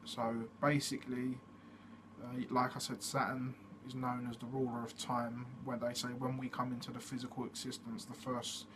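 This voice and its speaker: Flat-voiced man, noisy recording. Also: mid-range voice, normal speed. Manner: steady, plainly explaining, with frequent fillers. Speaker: channel host. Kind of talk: casual explanatory monologue. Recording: noticeable background noise.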